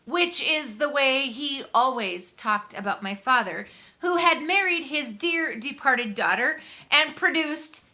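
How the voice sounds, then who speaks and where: Woman, audiobook narration, unhurried pace, lively swooping intonation, conversational, drawn-out emphasis. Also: sits high. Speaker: audiobook reader. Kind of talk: expressive first-person story narration.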